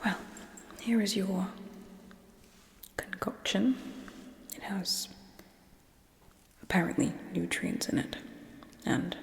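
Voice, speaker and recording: A young woman voicing a haughty, menacing alien military ruler in a slow, breathy ASMR whisper, with cold, condescending contempt. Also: medium pitch. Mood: cold, commanding. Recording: echoey room with long reverb.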